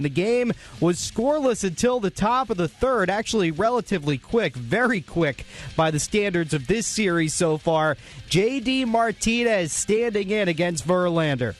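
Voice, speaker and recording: A man hosting a radio postgame show, recapping the highlights in a brisk, informative, scripted way.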